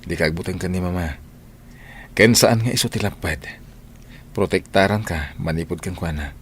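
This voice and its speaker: A man's voice: sits low, moderate pace, slight background noise. A man playing a devoted, protective young suitor in a radio drama. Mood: tender, reassuring. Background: loud music.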